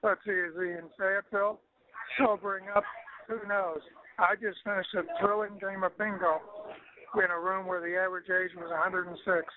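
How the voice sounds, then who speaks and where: Phone-line older man, high-pitched and sardonic. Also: flat delivery, moderate pace, a crowd in the background. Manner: sardonic, wisecracking, grumbling. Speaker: caller leaving voicemail messages. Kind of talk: casual voicemail message. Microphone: telephone. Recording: tinny phone-line voicemail recording.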